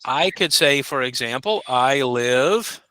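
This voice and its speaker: Bright, lively male ESL teacher. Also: mid-range voice, slight background noise. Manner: patient, models phrases slowly for students. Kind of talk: encouraging classroom instruction.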